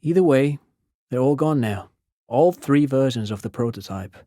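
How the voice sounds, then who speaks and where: A man performs an erudite, courteous male intellectual for a video game. His mid-pitched voice swings expressively as he explains things with pedantic enthusiasm. The sound is dry and close. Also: animated, normal speed, warm tone, dry recording, close to an everyday voice. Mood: informative, keen. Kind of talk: video game dialogue acting.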